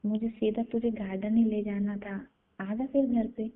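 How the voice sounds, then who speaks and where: A woman's voice: medium pitch, level tone, normal speed, close to an everyday voice. A woman playing a bold, teasing, self-assured elder sister in an improvised phone-call roleplay, speaking in a coy manner. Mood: teasing, probing. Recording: muffled, narrow-band phone call audio.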